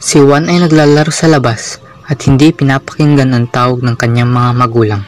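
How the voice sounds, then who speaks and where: A man's voice: medium pitch, steady tone, fairly quick, soft background music. A man narrating a scripted moral story for a puppet show.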